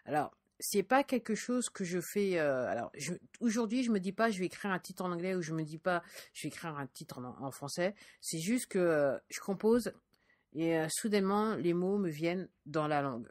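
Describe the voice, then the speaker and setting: A woman's voice: fairly low pitch, lively intonation, moderate pace, a little mellow, no echo. Hesitant woman musician, dry close sound. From France. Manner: hesitant, many fillers and restarts. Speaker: independent musician and podcaster. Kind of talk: casual spoken monologue.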